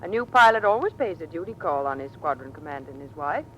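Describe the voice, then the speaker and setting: An actress playing a poised, teasing American officer's wife in an old-time radio drama. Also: female voice, deep voice, lively intonation, quick pace, muffled recording. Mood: teasing, welcoming. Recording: noisy vintage radio recording.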